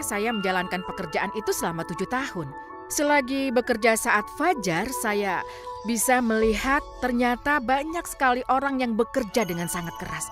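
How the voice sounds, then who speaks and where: A woman calmly and earnestly reading a translated personal testimony as a voice-over, with measured pauses. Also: on the high side. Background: background music.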